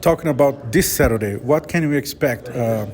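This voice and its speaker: A man from Brazil with a Brazilian Portuguese accent, interviewing a fighter in English, halting as he searches for words. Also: medium pitch, darkish tone. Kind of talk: press interview.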